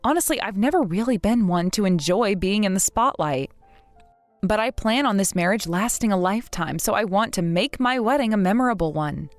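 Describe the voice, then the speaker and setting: Woman playing a calm, hurt bride-to-be. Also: medium pitch, no echo, faint music behind, close to an everyday voice. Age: 30s. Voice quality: soft, measured and restrained.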